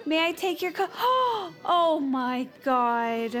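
Gushy party hostess, super high lilting voice, slow and theatrical, music behind. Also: female voice, very animated, a bit bright, heavily acted. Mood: delighted, smug. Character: sugary, gushing young socialite, idolized American woman. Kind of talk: comic audio drama, imagined party scene.

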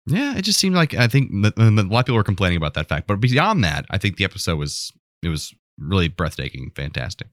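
A man's voice: sits low, big pitch swings, fast, few pauses, no room sound, studio quality. A man co-hosting a podcast, explaining his thoughts in a casual, conversational way. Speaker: podcast co-host. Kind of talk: casual chat about a TV show.

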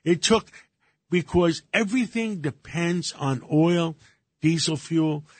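Greek-born older man, co-hosting radio, halting delivery full of restarts, somewhat high voice, dry studio sound. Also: a little mellow, dry recording. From Greece. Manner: halting, many fillers and restarts. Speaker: radio show co-host. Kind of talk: casual radio talk.

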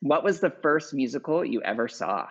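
A man hosting an interview show, speaking in a measured, encouraging way as he asks his guest questions. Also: mid-range voice, big pitch swings, vocal fry, fairly bright tone. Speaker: podcast host. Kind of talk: conversational interview. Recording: dull lo-fi audio.

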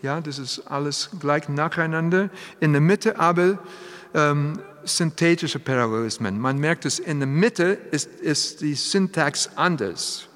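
A man preaching a sermon in German, which is not his first language; he speaks haltingly and drops in English phrases. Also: fairly high pitch, fairly bright tone. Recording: long hall reverb.

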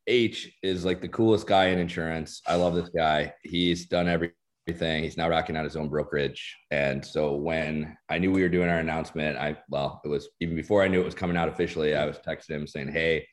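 A middle-aged man speaks as a podcast guest, relaxed and self-deprecating, often pausing on fillers. His voice sits extremely low, deep enough for late-night radio. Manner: laid-back, self-deprecating, many fillers. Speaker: guest, insurance executive. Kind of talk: casual interview chat.

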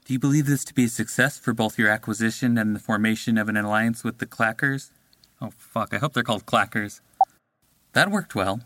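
A man reading voice lines quickly and swearing when he slips. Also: fairly low pitch, fairly bright tone, slight background noise. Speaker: voice actor.

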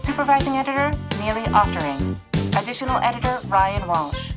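A woman's voice: on the high side, lively intonation, brisk. A woman announcer giving a scripted reading of production credits. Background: loud music.

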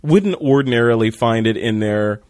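A man's voice: medium pitch, lively intonation, normal speed, a little mellow, no echo, studio quality. A man hosting a casual interview, asking curious questions and joking along. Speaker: podcast host, interviewer.

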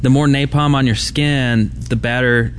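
A man's voice: medium pitch, steady tone, moderate pace. A man chatting informally as co-host of a gaming podcast. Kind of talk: casual gaming podcast chat. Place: live on an open convention floor. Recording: busy convention floor noise. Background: convention ambience and loudspeaker.

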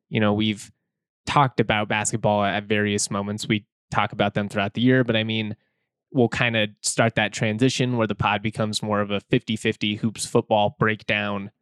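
A man hosting a sports podcast in a relaxed, conversational and opinionated way, his voice slightly hoarse. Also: fairly low pitch, no echo. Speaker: podcast host. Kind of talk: casual sports podcast talk. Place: indoors, late at night.

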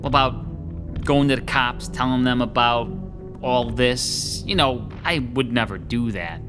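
A man's voice: mid-range voice, unhurried, music in the background, lightly acted. An actor playing a slangy, grumbling, middle-aged male New York lackey in an audio horror drama. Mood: nervous.

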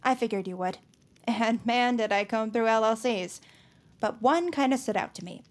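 Woman as eager nerdy superfan, high voice. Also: normal speed, close to an everyday voice. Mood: eager, showing off. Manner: rambling, earnest explanations. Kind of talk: scripted audio drama acting.

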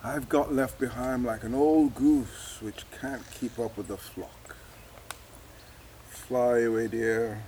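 Actor as older man, very unhurried, rueful, hesitant, fond father, radio play, faint background noise. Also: mid-range voice, fairly even intonation, dark tone, lightly acted. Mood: tender, sad. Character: ageing medic father, wry, fond, evasive, self-mocking. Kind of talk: naturalistic audio drama acting.

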